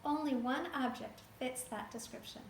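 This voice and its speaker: A woman voicing a foolish, excitable village man in a storybook reading. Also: high-pitched, normal speed, creaky, roomy sound, a little hiss or hum. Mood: excited.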